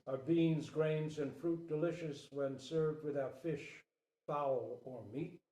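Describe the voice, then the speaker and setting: A man's voice: on the high side, fairly even intonation, slow, warm tone. A man voicing a majestic human king, proud but merciful, in a formal, declamatory storybook reading. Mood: proclaiming. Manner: grand, declamatory, formal decrees. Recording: roomy echo, distant microphone.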